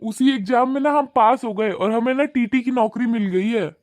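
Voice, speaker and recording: A man's voice: extremely high pitch, moderate pace, dry recording. A man voicing a humble, hardworking young male newspaper seller in a cartoon, talking politely and deferentially. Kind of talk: cartoon voice acting.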